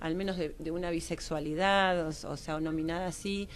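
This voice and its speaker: A woman's voice: low-pitched, steady tone, fairly quick. A woman with an Argentine Rioplatense accent speaking reflectively as a radio interview guest, explaining in a conversational way and often seeking agreement with tag questions. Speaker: guest, psychology professional who trains others.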